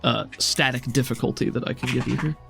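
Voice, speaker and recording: A man's voice: mid-range voice, fairly even intonation, fast talker, gravelly. A man running a tabletop game, narrating scenes and talking through rules as game master. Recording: music under the voice. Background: background music.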